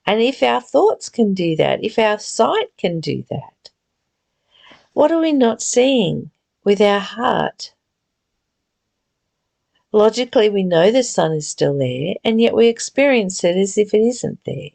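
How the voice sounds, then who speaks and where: A woman giving a reflective spiritual reading and teaching in an earnest, deliberate, gently exhorting way. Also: mid-range voice, big pitch swings, gravelly. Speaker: reader and spiritual study teacher. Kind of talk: read-aloud spiritual text with reflective, informal commentary.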